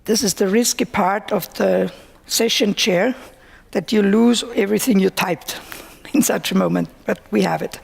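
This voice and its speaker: A woman chairing a conference session, calmly walking the audience through each step. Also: medium pitch, vocal fry, husky. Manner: slow, instructing the audience. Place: conference hall.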